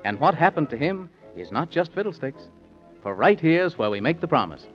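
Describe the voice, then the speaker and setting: A man's voice: a little high, big pitch swings, normal speed, over music. A man narrating a children's story in rhyme, with a playful, sing-song 1930s radio delivery. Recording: dull old 1930s radio recording.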